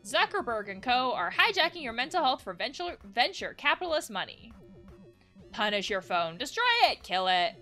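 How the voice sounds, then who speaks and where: A woman plays an overprotective cartoon guard wolf from a video game, all anxiety. The voice is high and swoops widely, urgent and dramatic, with music behind it. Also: big pitch swings, normal speed, sharp tone. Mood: frantic. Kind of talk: reading visual novel dialogue aloud.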